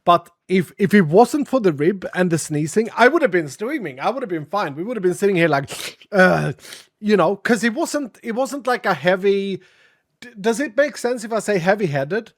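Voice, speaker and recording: Streamer, male, sniffly and raspy from a cold, chatty and a bit dramatic, sits high in his range. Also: lively intonation, moderate pace. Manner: chatty, dramatic, thanks viewers often. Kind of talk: casual live-stream chat.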